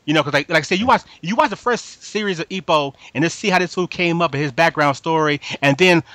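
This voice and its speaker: Fast-talking man with a bright voice. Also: fairly high pitch, no room sound. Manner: slangy, repeats words and phrases emphatically. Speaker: podcast host moderating a group discussion. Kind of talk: casual anime fan chat.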